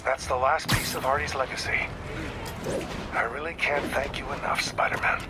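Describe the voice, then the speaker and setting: A man's voice: mid-range voice, steady tone, normal speed, loud music behind the voice, lightly acted. An American man playing a seasoned, shrewd police detective in a video game, plainspoken and guarded. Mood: somber, grateful. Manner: plainspoken, folksy, probing. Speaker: video game supporting character. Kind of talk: video game voice acting, phone conversation.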